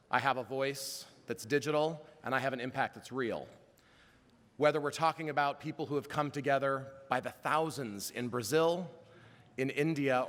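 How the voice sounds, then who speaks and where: A man's voice: mid-range voice, a bit bright. A man addressing a conference room from the stage, building his points in punchy repeated phrases. His voice carries a long hall reverb around a mid-range tone. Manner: rousing, emphatic, rhythmic lists. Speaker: summit host. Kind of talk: keynote speech.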